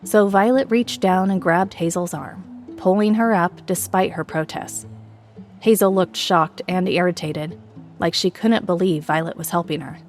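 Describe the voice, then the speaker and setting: A woman's voice: on the low side, fairly even intonation, a little slow. A woman narrator reading a scripted, dramatic story with music behind her.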